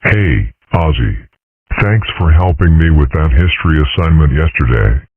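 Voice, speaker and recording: Man doing a rumbling, dim cartoon schoolboy bully. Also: expressive, measured pace, breathy edge, dry recording, exaggerated voice. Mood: dim, friendly. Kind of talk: comic strip dub. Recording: muffled band-limited audio.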